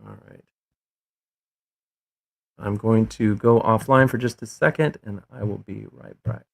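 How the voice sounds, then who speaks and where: Rambling low-voiced man hosting a call-in show. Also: fairly even intonation, a little slow, gravelly, slight background noise. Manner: rambling, many fillers and restarts.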